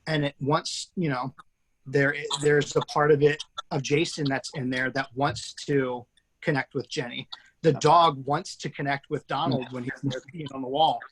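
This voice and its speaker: A man's voice: medium pitch, fairly even intonation, moderate pace, fairly bright tone, breathy edge, poor audio. A man, the writer and host of an online table read, talking casually with many fillers and restarts over a video call.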